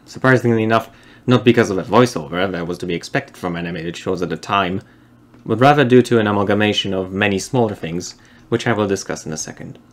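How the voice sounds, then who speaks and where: A man's voice: lowish voice, quick pace. Wry young man. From Poland. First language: Polish. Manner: dry, wry, explanatory. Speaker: video essayist. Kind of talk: scripted narration.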